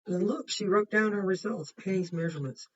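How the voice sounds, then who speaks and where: A woman's voice: medium pitch, fairly quick, muffled recording, slight background noise. A woman kindergarten teacher reading a picture book aloud to young children and explaining it simply as she goes.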